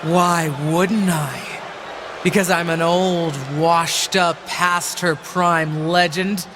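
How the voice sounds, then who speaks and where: A woman's voice: lowish voice, fairly even intonation, slow pace, noisy background. A woman playing a bitter, scheming older wrestling legend in a video game, taunting and condescending like a promo. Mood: scornful, wounded pride. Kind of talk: dramatic wrestling promo acting.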